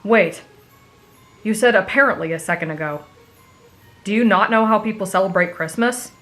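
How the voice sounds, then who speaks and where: A woman's voice: medium pitch, normal speed, a bit bright, soft background music, close to an everyday voice. A woman voicing an emotional, cynical teenage boy demon slayer, grumbling and easily exasperated, in an anime fan audio roleplay. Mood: dry, skeptical.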